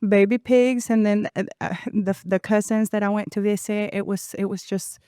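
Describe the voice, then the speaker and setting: A woman in her forties from Venezuela, a Spanish speaker with a Venezuelan accent, telling her life story as a podcast guest in an animated way. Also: mid-range voice, moderate pace, clear, resonant tone, no echo, clean studio sound. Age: 40s. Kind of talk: conversational interview.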